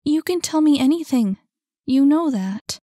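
A woman reading a storybook and voicing a gentle, caring young woman who speaks softly and pleadingly to a troubled friend. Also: sits high, lively intonation, measured pace, dry recording, clean studio sound, pitched above the performer's own voice. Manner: soft, pleading, concerned.

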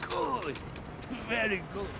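An actor playing a grave, vengeful Russian soldier in a video game, speaking deliberately with a Russian accent and great intensity. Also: male voice, high voice, sing-song, vocal fry, exaggerated voice. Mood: hushed, conspiratorial. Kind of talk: dramatic video game voice acting. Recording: loud music over the voice.

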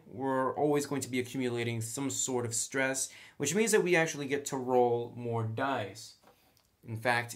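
Man, mid-range voice, casual tabletop tutorial host, conversational, explanatory, step-by-step delivery. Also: mid-range voice. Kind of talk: casual step-by-step tutorial, partly reading rules aloud.

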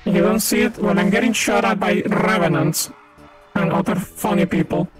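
A man with a high voice and a thick Venezuelan accent from Maracaibo chats and laughs through a co-op shooter stream. He is a native Spanish speaker who keeps calling out enemies. Loud game music plays behind him. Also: normal speed. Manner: chatty, laughs often, calls out enemies. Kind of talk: casual co-op gaming chat.

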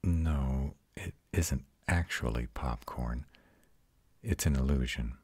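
A man playing a proud but tender vampire lover in an intimate comfort roleplay, speaking in a soothing, reassuring way with a breathy, husky voice. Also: extremely low pitch, slow, a little mellow, no echo, heavily acted. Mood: soothing, patient. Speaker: voice actor in a roleplay audio.

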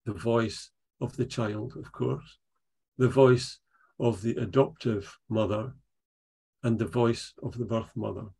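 An older man with a Dundee Scots accent speaks in a gravelly, slightly husky voice. He teaches a writing workshop over a video call, thoughtful and unhurried, pausing and restarting as he searches for words. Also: mid-range voice, steady tone, mellow. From Scotland. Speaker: poet leading a writing workshop. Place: attic room at home. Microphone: video call, laptop camera.

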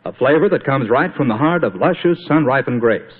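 Animated American old-time radio announcer man. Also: mid-range voice, fairly quick, slightly rough. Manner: chummy, folksy salesman. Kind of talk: 1940s radio sponsor announcing. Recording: muffled, narrow 1940s radio recording.

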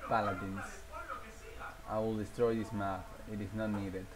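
A man's voice: fairly low pitch, flat delivery, unhurried, over music. A man chatting casually on a livestream as a gaming streamer, rambling and hesitant and often repeating himself.

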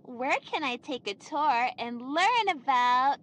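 A woman's voice: high voice, expressive, low-quality recording. A woman voicing a young cartoon girl who hosts a children's show in a video game, talking to viewers in a singsong way. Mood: instructive, bright. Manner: singsong, slow counting, addressing young viewers.

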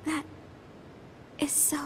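A woman voicing an eerie, refined, theatrical character in a video game cutscene. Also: close to an everyday voice. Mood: rapturous. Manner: slow, theatrical. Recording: clear background noise.

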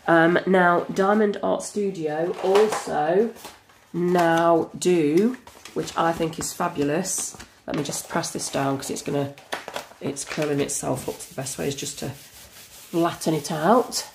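A woman with a croaky voice from a cold, chatting eagerly through an unboxing. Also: low-pitched, steady tone, slow pace, a little hiss or hum. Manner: chatty and enthusiastic, frequent fillers. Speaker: crafting channel host. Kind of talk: casual unboxing chat. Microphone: camera over a tabletop.

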